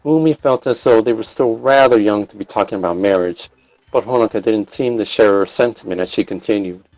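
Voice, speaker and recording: Low-voiced man narrating a story. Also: fairly quick, a little mellow, dry recording, faint music behind, low-quality recording. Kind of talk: scripted narration of fan fiction.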